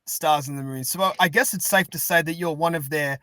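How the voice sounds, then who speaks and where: A man co-hosting a casual interview, speaking haltingly and often repeating words as he searches for phrasing. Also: fairly high pitch, bright, forceful tone, dry recording. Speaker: podcast co-host.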